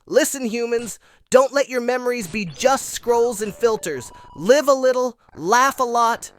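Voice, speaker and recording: A woman's voice: on the high side, animated, unhurried. A woman playing a playful, chatty puppet who hosts a show in a theatrical way. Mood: showy, upbeat. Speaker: host. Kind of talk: scripted puppet show presenting.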